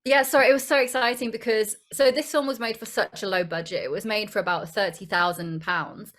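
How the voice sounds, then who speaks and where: Young British woman, actress guest, enthusiastic, fluent, mid-pitched voice. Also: fairly even intonation, fairly quick, fairly bright tone. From United Kingdom. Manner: enthusiastic, fluent, repeats words when starting. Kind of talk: interview.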